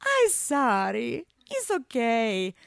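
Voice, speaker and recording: A woman voicing a hulking, exuberant and simple Italian man with an Italian accent and drawn-out broken English, in an audiobook. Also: fairly high pitch, wildly swooping pitch, creaky, dry recording, studio quality, voice pushed up, exaggerated voice. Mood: kind, consoling.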